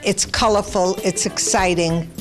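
A woman's voice: lowish voice, steady tone. A woman hosting a gallery event, chatting with artists on a handheld mic. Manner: chatty, warm compliments, quick interjections. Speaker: host. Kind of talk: casual on-camera interviews. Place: indoor art gallery at an evening event. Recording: crowd chatter and music behind.

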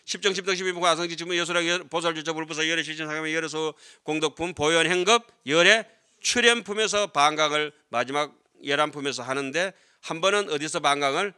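A middle-aged man, a Buddhist monk, lecturing on scripture to a class in a hall and speaking into a desk microphone. He is animated and keeps asking the students questions, then answering them himself. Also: on the high side, a little fast, clean tone. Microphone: desk microphone on stand.

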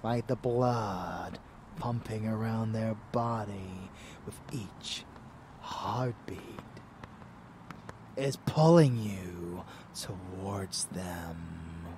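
A man's voice: lowish voice, extremely slow, a little mellow, a little hiss or hum. A man playing a murderous, doting vampire lover in an intimate ASMR audio roleplay, drawling and often dropping into whispers. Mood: tender, instructive. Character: rebellious murderous male vampire lover, doting yet bloodthirsty.

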